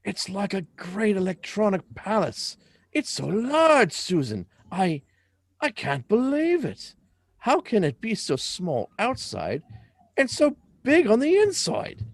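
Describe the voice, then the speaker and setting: Man playing a very animated, wide-eyed young man. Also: high voice, slow pace, full character voice. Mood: amazed. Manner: wide-eyed, hesitant, questioning. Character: curious, astonished, naive young man. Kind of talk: live reading of a radio drama script.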